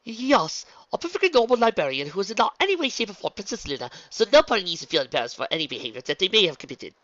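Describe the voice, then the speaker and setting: A man's voice: fairly high pitch, huge pitch swings, moderate pace, a bit bright, no room sound, highs cut off, voice pushed up, heavily acted. A man voicing a proud but awkward, reserved pony princess in disguise, with a stiff, self-conscious manner. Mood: nervous.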